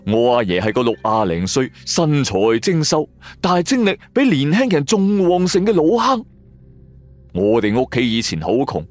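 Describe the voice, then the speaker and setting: A man with a Cantonese accent narrating a radio drama in the first person, with colloquial, theatrical delivery. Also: a little high.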